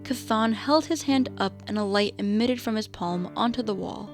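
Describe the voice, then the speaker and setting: A woman's voice: mid-range voice, normal speed, soft background music. A woman reading scripted narration for a radio drama as the storyteller.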